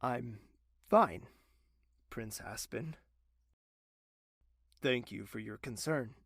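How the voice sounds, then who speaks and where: A man playing a gravelly, weary young royal. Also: medium pitch, fairly bright tone, no echo, clean studio sound, heavily acted. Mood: guarded. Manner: halting, tense, guarded. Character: stressed young male royal, defensive and weary. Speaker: voice actor. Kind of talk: audio drama acting. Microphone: home recording.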